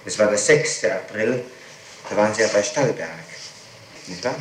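Low-voiced male interrogator on echoey tape. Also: normal speed. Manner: probing, pressing for dates and details. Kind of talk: questioning in a recorded interrogation. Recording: echoey, noisy 1960s tape recording.

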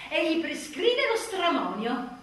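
Woman doing a sarcastic stage monologue, high voice swinging all over, really echoey and kinda far from the mic. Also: sing-song, slow pace, a bit bright. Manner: dramatic, sarcastic, emphatic delivery. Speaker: stage actress performing a monologue. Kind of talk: theatrical comic monologue as an exasperated mother. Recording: echoey room, distant mic, faint noise.

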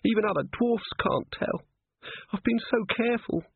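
A man's voice: high voice, normal speed, vocal fry, slightly rough, no echo, phone-quality sound, pitched above the performer's own voice, exaggerated voice. A man voicing an anxious, timid female dwarf with a bitter streak in a storybook reading. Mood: defensive, anxious. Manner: fretful, confiding.

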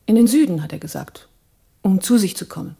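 An actress playing a direct, guarded and inquisitive woman with a husky, mellow voice in a radio drama. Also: medium pitch, animated, fairly quick, full character voice. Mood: questioning, guarded.

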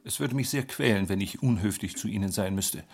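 An actor playing a proud, aloof government gentleman in a radio drama, speaking formally and precisely. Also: lowish voice, fairly quick, a little hiss or hum, natural voice. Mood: guarded, aloof.

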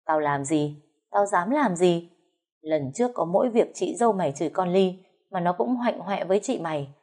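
A drunk, bullying older brother, as a woman reading the story puts him on. He speaks loudly and tauntingly, with a low pitch. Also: animated, moderate pace. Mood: defensive. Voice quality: loud and taunting.

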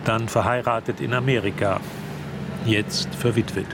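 A man's voice: on the low side, fairly even intonation, moderate pace, creaky. A man reading scripted narration as the storyteller of a radio drama. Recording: faint background noise.

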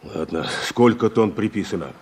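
An actor playing a stern but fair farm boss in a radio play, brisk, questioning and commanding. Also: male voice, lowish voice, darkish tone, lightly acted. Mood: decisive. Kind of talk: Soviet radio play acting. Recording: noisy vintage radio drama recording.

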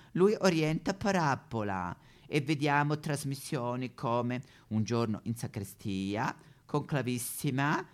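A man's voice: mid-range voice, voice pushed up. A man playing an elderly retired German pope in a satirical stage monologue, with a German accent, mock-solemn sing-song preaching and petulant complaints. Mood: wistful, deadpan. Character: elderly retired German pope, whiny, pious but foul-mouthed.

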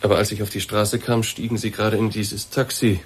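An actor in a German radio play voicing a formal, persistent male boss, polite, measured and insistent. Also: on the low side, little pitch movement, breathy edge. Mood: polite, explanatory.

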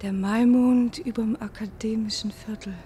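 Actress as high-voiced, overwrought dreamy woman. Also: steady tone, normal speed, slight background noise, natural voice. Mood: hushed reverie. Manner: dramatic, swinging from breathy whispers to shouts. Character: overwrought dreamy woman addressing the city's rooftop statues. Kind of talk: radio play monologue.

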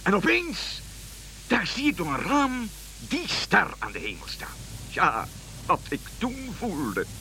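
Casting: flustered, bashful king for comic radio drama; high, wildly swooping delivery, polite and hesitant. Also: wildly swooping pitch, slow, sharp tone, a little hiss or hum, exaggerated voice. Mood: earnest confiding. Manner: flustered, polite, repeating words in hesitant bursts. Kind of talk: comic radio play acting.

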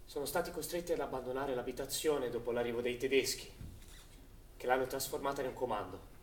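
A man's voice: medium pitch, quick pace. A man narrating a scripted war drama as a stage actor, in a dramatic storytelling style. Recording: echoey, noisy, distant stage recording.

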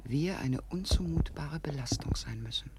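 Higher-voiced, brooding actor as a survivor. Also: male voice, lively intonation, moderate pace, close to an everyday voice. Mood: grave, brooding. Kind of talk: 1970s German radio drama acting. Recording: faintly noisy 1970s radio recording.

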